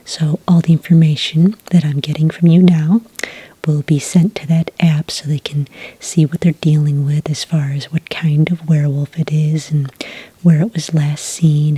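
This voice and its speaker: A woman playing a calm, polite agent who takes sighting reports in a soft-spoken ASMR roleplay, breathy and whispery, with gentle, patient questioning. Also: low voice, dry recording, exaggerated voice, rough recording. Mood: calmly explaining.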